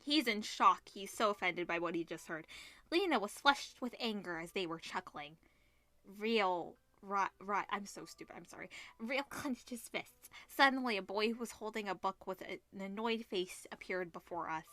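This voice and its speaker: A woman's voice: mid-range voice, normal speed, sharp tone, no echo. A woman reading visual novel text aloud as host, dropping in informal asides and reactions. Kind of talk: reading game text aloud with casual commentary.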